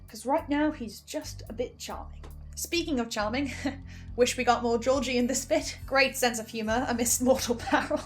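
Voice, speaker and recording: A woman giving a casual, animated recap and reaction, often interrupting herself with asides. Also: fairly high pitch, moderate pace, faint music behind. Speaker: host of a podcast reaction show.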